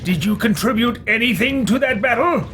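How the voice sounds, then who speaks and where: A man's voice: very high-pitched, expressive, normal speed, noisy background, pitched above the performer's own voice. A man roleplaying a haughty, sardonic evil wizard in a video game, with theatrical, disdainful and formal speech. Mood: haughty, irritated. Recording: loud game music behind.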